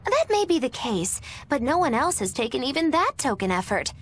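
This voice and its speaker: A woman's voice: high voice, big pitch swings, fairly quick, slightly rough, full character voice. A woman playing a sly, theatrical young witch in an anime game dub, speaking in an arch, wordy, teasing way. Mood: knowing, teasing.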